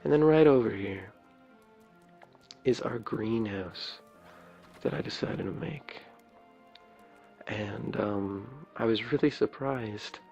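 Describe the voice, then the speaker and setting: A man with a low, crackly, raspy voice records ASMR game commentary. He is soft-spoken and meanders hesitantly as he shows things off. The sound is muffled and lo-fi, with game music clearly playing behind him. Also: slow, pauses often. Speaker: video creator showing his game builds. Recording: dull lo-fi mic, clear background music.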